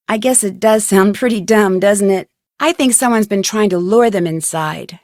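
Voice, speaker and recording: A woman performs a young female amateur detective for a video game. Her voice sits a little high and is recorded very dry. She speaks in an earnest, upbeat and inquisitive way, polite with everyone she questions. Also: no room sound, lightly acted. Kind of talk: scripted adventure game dialogue.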